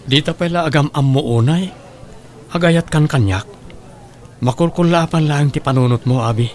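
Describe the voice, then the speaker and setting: A man's voice: a little high, normal speed, close to an everyday voice. A man with a Filipino Ilocano accent playing an easygoing, considerate young man in an audio drama. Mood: puzzled. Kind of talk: Ilocano radio drama acting.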